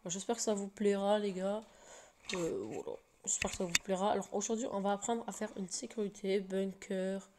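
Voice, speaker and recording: A woman's voice: mid-range voice, steady tone, fairly quick, background noise. A woman with a slight cold, talking through a casual game tutorial while recording her screen, hesitant and full of fillers and restarts.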